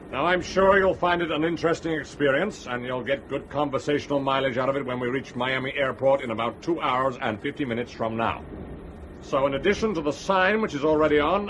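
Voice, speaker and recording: Guy acting a wry breezy airline pilot in a radio play, mid voice, clear engine noise behind. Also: mid-range voice, close to an everyday voice. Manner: smooth patter, dry humour. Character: breezy, wry, self-assured airline captain. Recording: loud vehicle-like cabin noise.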